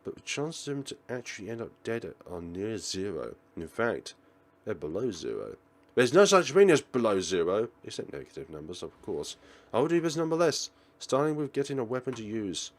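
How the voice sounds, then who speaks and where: A man with a fairly low voice narrates a visual novel for a let's play. His pitch moves around a lot as he reads dramatically, now and then tripping on a phrase and going over it again. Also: big pitch swings, brisk. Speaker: let's player reading a visual novel aloud. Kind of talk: game commentary with dramatic read-aloud narration.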